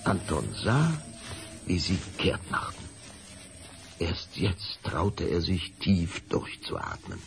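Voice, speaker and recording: A man narrating a German children's radio play as a measured, suspenseful storyteller. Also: low-pitched, very animated, vocal fry, a little hiss or hum, full character voice.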